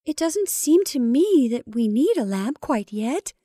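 A woman's voice: high-pitched, takes it slowly, no room sound, clean studio sound, pitched above the performer's own voice, exaggerated voice. A woman voicing a timid, agitated woman in a story, hesitant and pleading like a child. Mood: piteous, pleading. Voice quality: hoarse, choked. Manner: hesitant, weak, piteous.

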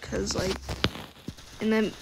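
A woman with a scratchy, quiet voice, rambling casually while playing a game. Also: medium pitch, flat delivery, slow, gravelly. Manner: quiet, soft-spoken, trailing off. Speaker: gaming video creator. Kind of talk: rambling casual commentary over gameplay.

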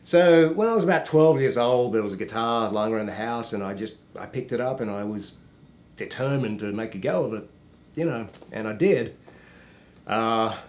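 A man's voice: lowish voice, lively intonation, normal speed, a little mellow. An Australian man in his thirties, a guest musician, chatting in a studio interview with a slight American Southern drawl, friendly and full of "you know". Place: small TV studio with green screen.

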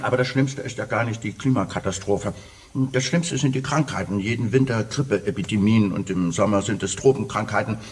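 An actor playing a polite, sober stranded traveler in a radio play, courteous and matter-of-fact, with a very husky voice. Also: male voice, lowish voice, brisk. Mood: grave. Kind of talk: German radio play acting.

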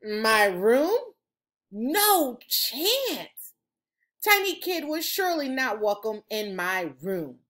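A middle-aged woman reading a children's storybook aloud like a teacher, with dramatic, drawn-out emphasis. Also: a little high, sing-song, fairly bright tone. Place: room at home. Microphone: video call, computer at desk distance.

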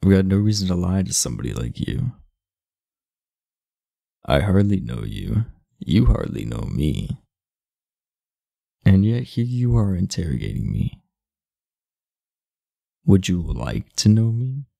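A man playing an aloof, irritable, sleepy teenage delinquent in an ASMR roleplay, blunt, sardonic and guarded, with a low, gravelly voice. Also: big pitch swings, measured pace, long pauses, warm tone, no room sound, studio quality, heavily acted. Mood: dryly teasing. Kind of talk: ASMR roleplay, speaking to the listener.

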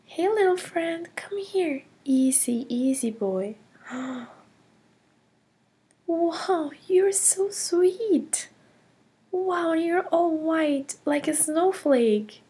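A curious, cheerful girl, voiced by a woman narrates her own toy play adventure in a high, unhurried voice. She gasps often and drops into hushed whispers of wonder. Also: animated, full character voice. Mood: amazed.

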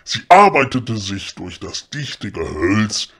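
A man's voice: lowish voice, very animated, slow pace, a crowd in the background. A man narrating a comedy audio drama in the style of a storybook. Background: clear music.

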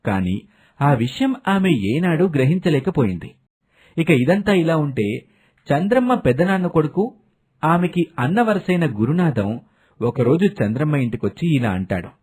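A man's voice: a little high, very animated, moderate pace, darkish tone, slightly rough. A man narrating a scripted moral story as a storyteller.

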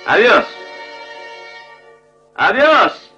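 Shrill, greedy, treacherous merchant. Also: male voice, big pitch swings, extremely slow, sharp tone, faint music behind, exaggerated voice. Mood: frantic, commanding. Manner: shrill, frantic exclamations. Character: greedy, treacherous merchant brother in a puppet fairy tale. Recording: muffled old film soundtrack.

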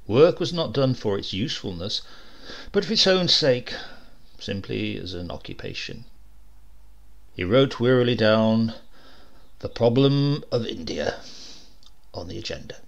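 A man's voice: fairly low pitch, moderate pace, vocal fry, pauses often, muffled recording. A man narrating a comic short story in a dry, wry storytelling manner. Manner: dry, wry storytelling with lively voiced dialogue.

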